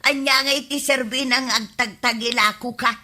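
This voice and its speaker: A woman's voice: a little high, fairly even intonation, moderate pace, bright, forceful tone. A woman playing a gluttonous, touchy old village woman in a radio comedy drama, exaggerated and whiny. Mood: gleeful, greedy.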